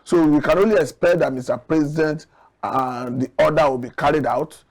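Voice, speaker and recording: Higher-pitched Nigerian man giving commentary. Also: normal speed, gravelly. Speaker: studio guest analyst. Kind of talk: current affairs commentary.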